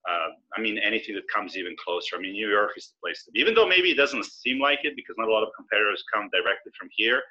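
Forties man, Serbian-born guest, lowish bright voice, slightly dull webcam sound. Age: 40s. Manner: easygoing, measured and explanatory. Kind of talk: casual interview. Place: indoor room with brick wall. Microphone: video call webcam. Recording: slightly lo-fi video call audio.

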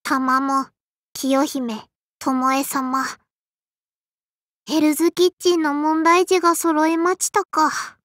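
A woman's voice: very high-pitched, animated, a little slow, long pauses, bright, forceful tone, no room sound, clean studio sound, full character voice. A woman voicing a tiny, prim and strict sparrow girl innkeeper with a childlike lisp and a cutesy verbal tic, in an anime mobile game. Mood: stern, exasperated. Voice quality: childlike, lisping. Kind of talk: Japanese anime mobile game character voice lines.

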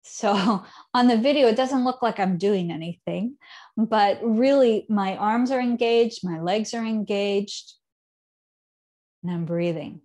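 A woman in her thirties leads a seated yoga practice at a middle pitch, her cues calm and unhurried. Her phrases often drift softly down at the ends. She sounds a little distant, as if caught by a camera across a living room. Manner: calm, unhurried cueing with soft trailing endings. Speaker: yoga teacher. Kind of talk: guided yoga instruction.

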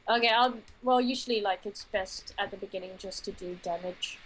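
A woman's voice: mid-range voice, brisk, fairly bright tone, rough recording. A young woman co-hosting a gaming video, chatting casually and thinking aloud about her items while she plays. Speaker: co-host gaming with friend. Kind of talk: casual co-op gameplay chat.

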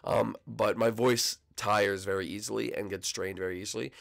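A young man hosting a gaming channel, talking casually with a hoarse, froggy voice and lots of rambling fillers. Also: fairly low pitch, fairly even intonation, normal speed, a bit bright. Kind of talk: casual video commentary.

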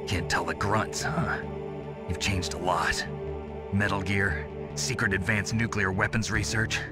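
An actor playing a gruff, cynical, tough covert soldier in a video game, terse and sardonic. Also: male voice, fairly even intonation, measured pace, loud music behind the voice. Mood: accusing, sardonic. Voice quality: gruff, low.

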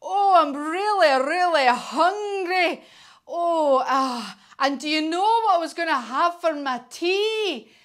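Storybook dragon, played by a woman: greedy but cowardly, high, swooping, theatrical exclamations. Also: lively intonation, takes it slowly, a bit bright, heavily acted. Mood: greedy, hungry. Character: greedy hungry male dragon, turning cowardly.

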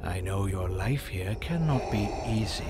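Slow, sinister old emperor. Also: male voice, low voice, expressive, loud music behind the voice. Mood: regal, sinister. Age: older. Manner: slow, imperious, measured. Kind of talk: audio drama acting.

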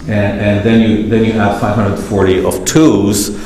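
A man's voice: lowish voice, little pitch movement, unhurried, darkish tone, a little hiss or hum. A middle-aged man giving a conference keynote from a podium, wry and self-deprecating, with many hesitations. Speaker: keynote speaker. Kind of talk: conference lecture. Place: large lecture hall, standing at a podium. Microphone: podium mic, far camera. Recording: very echoey hall.

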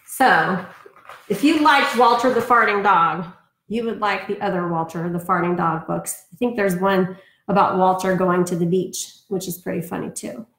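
A woman's voice: fairly low pitch, moderate pace, a bit bright. A woman reading aloud for an elementary storytime, telling it expressively with chatty asides. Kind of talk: read-aloud storytime for children. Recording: roomy, echoing sound.

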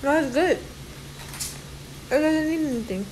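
A young woman off camera in a home kitchen, briefly asking questions in a soft-spoken way. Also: high voice, slow, background noise. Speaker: interviewer and the chef's daughter.

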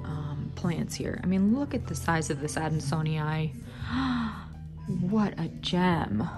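A woman's voice: deep voice, lively intonation, slow pace. Gushing woman browsing a plant shop. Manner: gushing, enthusiastic, admiring. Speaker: plant vlogger. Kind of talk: casual shop-along narration. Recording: background music under voice.

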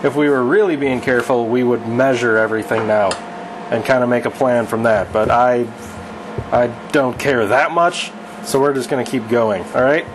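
A man's voice: medium pitch, fairly even intonation, normal speed, background noise. A man in his thirties casually teaching his wife to weld in a home garage, offhand and self-deprecating. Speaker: channel host teaching welding. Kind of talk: casual instructional chat. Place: home garage workshop, indoors.

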